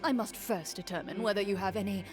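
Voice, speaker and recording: A woman's voice: mid-range voice, fast, over music, natural voice. A woman voicing a smug, scheming male prime minister with a pompous, condescending manner.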